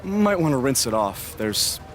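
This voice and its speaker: An actor playing a loyal, teasing wrestler friend in a video game story, with a wry, joking manner. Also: male voice, mid-range voice, big pitch swings, measured pace, faint music behind. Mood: wry, fond. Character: wry, teasing male wrestler, hero's loyal best buddy.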